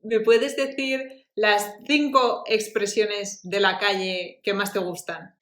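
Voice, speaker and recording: A woman's voice: medium pitch, fairly even intonation, slow pace, fairly bright tone. Encouraging woman host, echoey room. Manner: friendly, encouraging questioner. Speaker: host and language teacher. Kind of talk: interviewing a guest.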